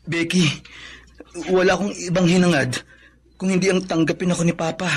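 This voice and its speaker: A husky, gentle grown son. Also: male voice, sits high, level tone, brisk. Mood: humble, earnest. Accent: Filipino. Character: gentle recovering mentally ill son, earnest and humble. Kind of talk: Filipino radio drama acting.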